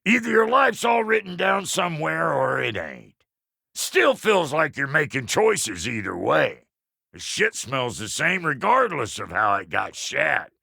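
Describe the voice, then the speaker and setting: A cranky dwarf blacksmith from a video game, crude and folksy, with an American accent. His gravelly voice is forceful and swoops wildly up and down as he gripes and blusters. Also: on the high side, very animated, a little slow, dry recording, studio quality, heavily acted. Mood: grumpy. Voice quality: gravelly, rough. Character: gruff, foul-mouthed, cranky dwarf blacksmith. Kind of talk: video game character voice acting.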